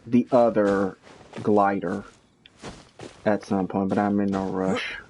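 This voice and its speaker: A man giving casual, halting live commentary as he streams a game, with a dry, grumbling manner. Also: fairly low pitch, level tone, darkish tone, a little hiss or hum.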